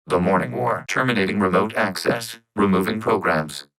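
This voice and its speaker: A man voicing a calm, logical networked robot intelligence in a video game, speaking in formal, precise, stilted phrases through synthetic processing. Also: low-pitched, moderate pace, creaky. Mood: detached, procedural. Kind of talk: scripted video game character dialogue.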